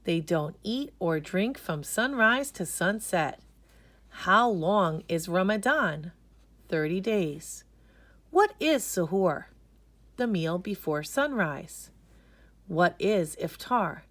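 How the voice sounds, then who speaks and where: A woman narrates a children's storybook. She reads slowly and deliberately, with very animated intonation. The recording is close and dry, with no room sound. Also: fairly low pitch, no echo. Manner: expressive, deliberate. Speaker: storybook reader. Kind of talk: children's read-aloud narration.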